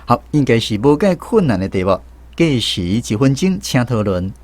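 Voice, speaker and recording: A man's voice: mid-range voice, very animated, moderate pace, darkish tone. A man with a Taiwanese accent hosting a language quiz on the radio, guiding the discussion. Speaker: host of a language-teaching radio segment. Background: music bed.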